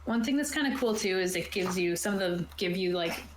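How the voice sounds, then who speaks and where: A woman's voice: mid-range voice, expressive, a little fast. A woman chatting casually and enthusiastically as a game master about tabletop roleplaying, thinking aloud as she goes. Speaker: game master and show host. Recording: roomy echo, faint background noise.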